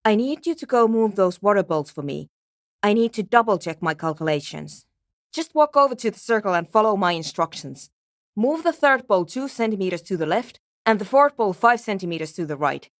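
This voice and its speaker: A woman's voice: medium pitch, expressive, brisk, no echo, studio quality, close to an everyday voice. An actress playing a weary, obsessive and prickly woman programmer in a video game, with a terse, precise way of talking. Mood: focused, pensive. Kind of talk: dramatic game dialogue.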